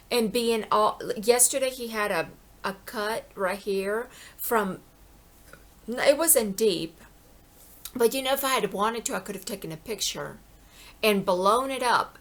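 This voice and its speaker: A middle-aged woman in an impassioned personal monologue. She rambles and repeats herself, with many "you know"s, and pleads with her viewers. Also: medium pitch. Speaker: vlogger.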